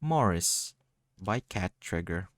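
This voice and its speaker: A man's voice: low voice, lively intonation, slow pace, no echo, clean studio sound. A man streaming a game and reading its on-screen text aloud as scripted narration. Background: background music.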